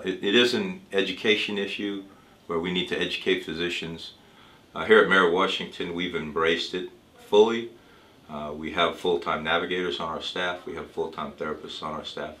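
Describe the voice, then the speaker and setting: A man playing a reassuring physician in a role-played consultation, explaining things in a measured, hesitant way. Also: deep voice, pushed and bright. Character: reassuring male physician talking with a cancer patient. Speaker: doctor in a training dramatization. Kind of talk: role-played consultation, semi-improvised dialogue. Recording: roomy echo with music underneath.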